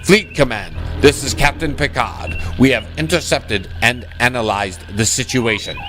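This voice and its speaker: A man acts out a bold space opera captain with a medium-pitched voice. He delivers clipped, deliberate orders in hammy sci-fi parody style, over loud music. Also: natural voice. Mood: commanding, resolute. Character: commanding, theatrical starship captain, parody space opera hero. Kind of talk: sci-fi parody audio drama acting.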